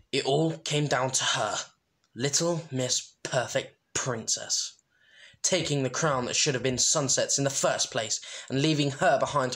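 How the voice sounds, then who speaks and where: Slow-paced amateur story reader, medium pitch. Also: fairly bright tone. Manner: informal, self-deprecating. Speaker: amateur fan fiction reader. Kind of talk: story narration with casual asides.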